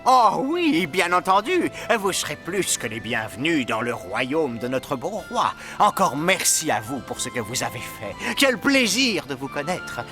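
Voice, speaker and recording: A man's voice: on the high side, sing-song, a little slow, hardly any pauses. A man playing a fussy, deferential royal courtier in a dubbed fairy-tale cartoon, obsequious in manner. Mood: effusive. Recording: long reverb, faint music behind.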